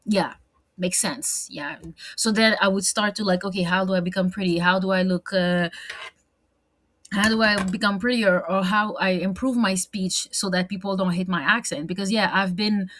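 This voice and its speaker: A woman's voice: medium pitch, fairly even intonation, normal speed, fairly bright tone. A young woman with a French accent, talking candidly in a personal vlog monologue, rambling and repeating words, with lots of fillers and now and then a slip into French. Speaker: vlogger.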